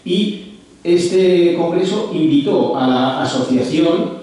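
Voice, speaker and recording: A man's voice: fairly high pitch, lively intonation, takes it slowly, warm tone, recorded from a distance. A man introducing a lecture speaker formally, hesitating with many fillers and restarts. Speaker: lecture presenter. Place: large echoey lecture hall. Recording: echoey hall, speaker off-mic.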